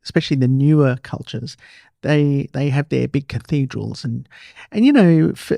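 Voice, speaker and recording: An Australian man hosting a podcast, chatting casually and telling stories in an animated way, repeating himself for emphasis. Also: medium pitch, moderate pace, vocal fry, no echo, studio quality. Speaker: podcast host. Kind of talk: casual interview chat, storytelling.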